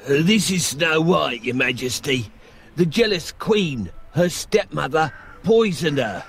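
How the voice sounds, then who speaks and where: A man playing a humble, forgetful old dwarf in a fairy tale, gravelly, husky and deferential. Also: on the high side, expressive, a little slow, a bit bright, full character voice. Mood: humble, weary. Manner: deferential, hesitant. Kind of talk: fairy tale cartoon acting.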